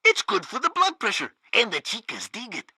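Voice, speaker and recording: A pompous state radio newsman. His voice is very bright and forceful, swinging theatrically up and down. He delivers satirical game propaganda with total loyalty and bombast. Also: high voice, wildly swooping pitch, normal speed, breathy edge, no echo, studio quality, full character voice. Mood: exasperated, cheerful. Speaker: radio news host. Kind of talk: satirical radio news broadcast.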